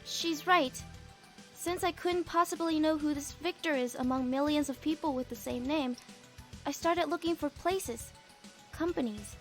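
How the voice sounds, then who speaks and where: A woman's voice: high-pitched, unhurried, soft background music. An actress voicing a young, girlish, diligent computer whiz in a visual novel game. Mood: matter-of-fact.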